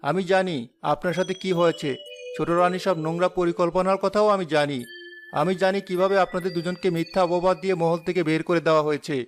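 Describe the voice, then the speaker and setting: Unseen cartoon forest spirit, played by a man: fairly high male voice, solemn, protective, formally polite. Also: fairly even intonation, quick pace, soft background music, lightly acted. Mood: reassuring, mysterious. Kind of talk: animated fairy-tale dialogue.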